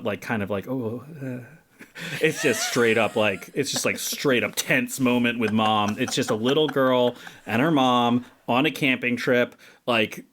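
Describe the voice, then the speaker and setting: A man's voice: medium pitch, a bit bright. A man talking as a podcast guest in a casual chat, a goofy storyteller who laughs often.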